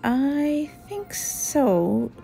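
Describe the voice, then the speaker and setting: A sweet little girl bear cub from a picture book, acted out by a woman. She is curious and chatty, speaking at a slow pace. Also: high voice, sing-song, gravelly, warm tone, faint music behind, pitched above the performer's own voice, heavily acted.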